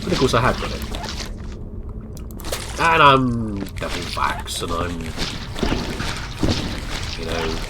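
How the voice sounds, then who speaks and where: Middle-aged man, stuffy nose, lively rambling let's-play chatter, noisy game audio underneath. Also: on the low side, slow pace, pauses often. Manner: chatty, meandering, self-deprecating. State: congested, bunged-up nose. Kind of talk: rambling casual gameplay commentary. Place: home office.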